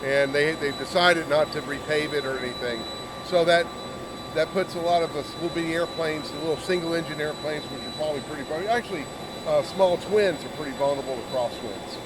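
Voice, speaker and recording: Male interviewer, casual and chatty explainer, a touch high in pitch, recorded against noticeable engine noise. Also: brisk. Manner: chatty, explains jargon to listeners. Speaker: interviewer and pilot. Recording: loud aircraft noise behind. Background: airplane and jet engine noise.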